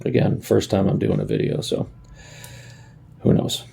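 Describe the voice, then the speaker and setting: A man's voice: lowish voice, monotone, unhurried, vocal fry, mellow. A man giving a casual hands-on tutorial in a folksy way, thinking aloud as he works, recorded on a phone. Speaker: amateur DIY video maker.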